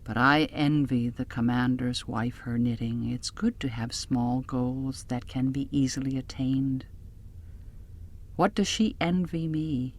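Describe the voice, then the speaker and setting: A woman reading first-person literary fiction as an audiobook narrator, in a measured way that drops softly at phrase ends. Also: very deep voice, fairly even intonation.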